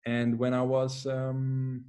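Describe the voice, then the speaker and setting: A young man from Denmark with a Danish accent, a footballer answering interview questions calmly over a video call, pausing often. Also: mid-range voice. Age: young adult. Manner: calm, measured, with many "uh" pauses. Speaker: guest, professional footballer. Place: plain room at home.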